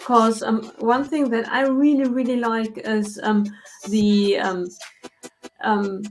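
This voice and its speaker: Woman cohost on a livestream, talks slow with lots of ums, fairly high voice, faint music behind. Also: little pitch movement. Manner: slow, many hesitant fillers. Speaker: co-host. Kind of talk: casual livestream chat.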